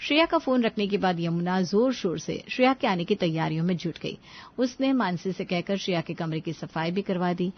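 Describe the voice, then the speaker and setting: A woman's voice: on the low side, expressive, a little fast, dull audio. A woman reading a scripted family story as its narrator.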